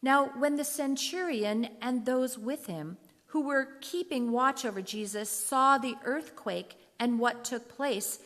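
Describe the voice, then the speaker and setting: Slow, higher-voiced woman narrating in echoey hall. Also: fairly bright tone. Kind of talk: solemn scripted scripture narration. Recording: echoey hall with long reverb.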